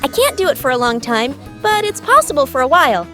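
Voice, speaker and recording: A woman acting a practical, playful young female friend in a suspense visual novel. Her voice is extremely high and bright, with lively swings in pitch, over faint music. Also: brisk. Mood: playful, inviting. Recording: music behind the voice.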